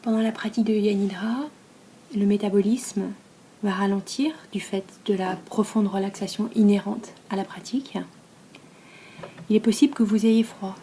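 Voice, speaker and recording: Soft, mellow French woman guiding relaxation. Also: mid-range voice, a little hiss or hum. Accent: French from France. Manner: soft, breathy, calm and soothing delivery; unhurried, with long pauses between phrases. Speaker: yoga nidra teacher. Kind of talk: guided relaxation meditation.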